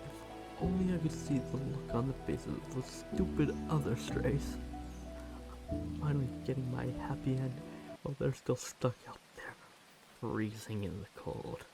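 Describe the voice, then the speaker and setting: A man voicing a clingy, devoted stray catboy in an ASMR roleplay, pleading and submissive, in halting phrases. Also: medium pitch, gravelly, low-quality recording. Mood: adoring, obsessive. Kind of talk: ASMR roleplay monologue addressed to the listener. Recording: loud music and rain behind. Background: music and rain.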